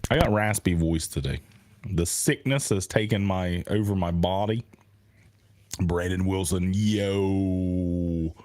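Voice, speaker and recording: A man with a raspy voice, clearly under the weather, trading casual and profane banter on a sports podcast. His delivery is loud and joking, and he sits in a small studio. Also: low-pitched, takes it slowly. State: sick with a raspy voice. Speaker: podcast co-host.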